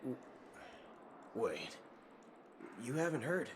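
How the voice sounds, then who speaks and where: An actor playing a brash, impatient cowboy drifter in a video game, blunt and casual with a theatrical manner. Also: male voice, medium pitch, sing-song, slow, background noise. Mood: probing. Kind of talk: scripted video game dialogue. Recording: game music behind.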